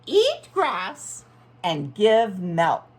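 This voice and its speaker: A woman's voice: very high-pitched, huge pitch swings, slow pace, pitched above the performer's own voice, full character voice. A chirpy farm lady, as a woman reads her part aloud. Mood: cheerful. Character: cheerful grown woman who owns a small farm.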